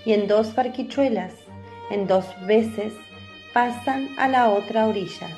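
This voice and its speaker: A woman's voice: on the high side, a little slow, darkish tone. Woman narrating over music. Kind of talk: scripted narration of a biblical radio drama.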